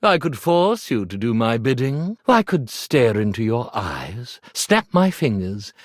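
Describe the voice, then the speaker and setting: An actor voicing a pompous, theatrical stage magician, secretly an iron, with grandiose, melodramatic delivery and florid diction for a video game. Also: male voice, medium pitch, very animated, unhurried, no room sound, studio quality. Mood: suave, commanding.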